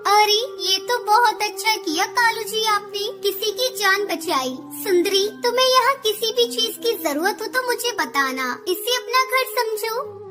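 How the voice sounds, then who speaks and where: A woman plays a cartoon mother bird with an extremely high, childlike voice. She is an emotional, plaintive housewife who speaks dramatically, with loud music playing behind her. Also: animated, unhurried. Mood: kind. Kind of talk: Hindi cartoon dialogue acting.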